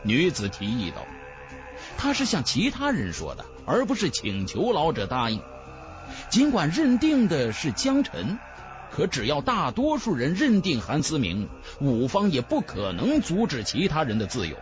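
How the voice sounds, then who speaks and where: Expressive male storyteller with sweeping pitch. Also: normal speed, lo-fi sound. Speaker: audiobook narrator. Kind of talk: scripted fantasy novel narration. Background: background music.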